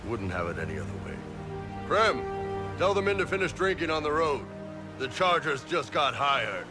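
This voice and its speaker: An actor playing a big, brash mercenary chief in a video game, blunt, casual and swaggering. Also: male voice, on the high side, big pitch swings, moderate pace, music in the background. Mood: commanding. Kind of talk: video game character dialogue.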